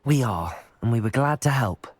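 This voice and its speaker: An actress playing a modest, polite student hero in a video game, giving short, understated replies. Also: female voice, very deep voice, lively intonation, normal speed, a little mellow, breathy edge, dry recording, exaggerated voice. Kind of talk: video game cutscene acting. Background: game score music.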